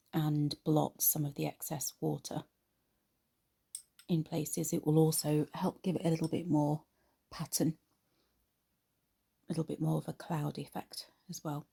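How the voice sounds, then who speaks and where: A woman hosting an art tutorial, narrating in an unhurried, informal way, her voice slightly croaky after a cold. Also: sits low, mellow. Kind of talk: relaxed painting tutorial narration.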